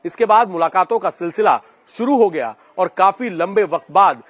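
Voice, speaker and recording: A man's voice: sits high, expressive, moderate pace, fairly bright tone. A man working as a news reporter, explaining a case in narrated report style.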